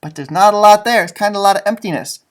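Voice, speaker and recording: A man reading a children's picture book aloud in an expressive, teacherly way, with casual chatty asides. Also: high-pitched, fast talker. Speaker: storybook reader, elementary school teacher.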